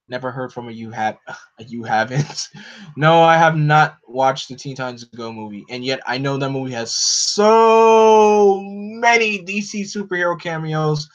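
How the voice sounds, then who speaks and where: A fairly high-voiced man holds forth on a casual home stream, opinionated and animated, his voice leaping up whenever he gets excited. The audio is dull and lo-fi. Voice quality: no regional accent. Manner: animated, opinionated, jumps up in pitch when excited. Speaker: streamer host. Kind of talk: casual chat to a live audience.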